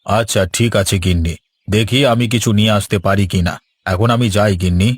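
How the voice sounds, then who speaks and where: A fairly low, dry-sounding village farmer for a cartoon. He is a gentle, considerate husband, with music playing behind him. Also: a little fast, husky, no echo. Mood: gentle, agreeable. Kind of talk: Bengali cartoon voice acting.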